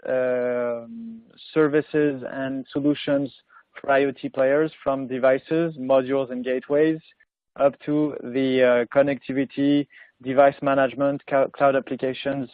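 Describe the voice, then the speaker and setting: A man presenting his company on a panel, halting with frequent fillers as he lists offerings. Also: mid-range voice, no room sound. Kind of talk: business presentation. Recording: dry tinny phone-line audio.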